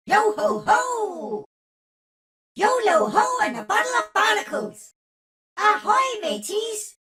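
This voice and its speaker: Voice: extremely high pitch, exaggerated voice. An actor voicing a boisterous, boastful robot for a video game, with theatrical exclamations. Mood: jolly, rowdy. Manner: theatrical pirate talk, exclamatory shouting. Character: boisterous robot pirate in a barrel, cartoonish braggart. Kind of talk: video game character voice lines.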